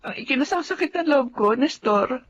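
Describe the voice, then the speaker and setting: A humble middle-aged mom, earnest, voice goes up and down a lot. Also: female voice, high voice, expressive, fairly quick, a little hiss or hum. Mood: hurt. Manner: earnest, aggrieved, pleading. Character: humble middle-aged mother, loyal former servant. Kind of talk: radio drama acting.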